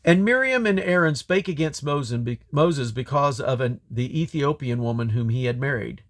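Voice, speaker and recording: A man reading scripture aloud in a solemn, deliberate way, breaking the text into measured phrases. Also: mid-range voice, expressive. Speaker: Bible reader. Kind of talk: scripture reading, King James English.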